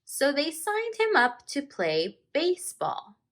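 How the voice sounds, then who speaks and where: A woman teacher reading a picture book aloud to children, expressively and with deliberate dramatic emphasis. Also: sits high, huge pitch swings, fairly bright tone.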